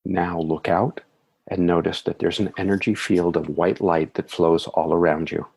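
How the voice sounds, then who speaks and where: A man leading a guided meditation in a soothing, measured way, with calm phrasing and gentle affirmations. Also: low voice, gravelly, breathy edge.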